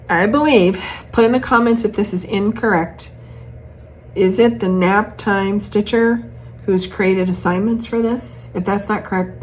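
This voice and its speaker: A woman chatting casually to camera as a craft vlogger, gushing and thinking aloud as she shows off patterns. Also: mid-range voice. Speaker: cross-stitch vlogger showing patterns. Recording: clearly noisy room ambience.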